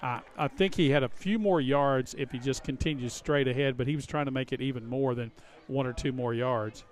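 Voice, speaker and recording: A man's voice: medium pitch, a little fast. A man giving live analytical commentary on a football game, explaining plays in a conversational way. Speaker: color commentator.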